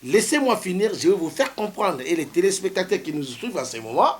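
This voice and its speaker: A man, speaking high in his range with a swooping, animated melody. He presses his argument, repeating phrases over and over to hold the floor, with a faint hiss of noise behind him. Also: fairly quick. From Democratic Republic of the Congo. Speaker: studio guest. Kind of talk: heated debate on a TV talk show.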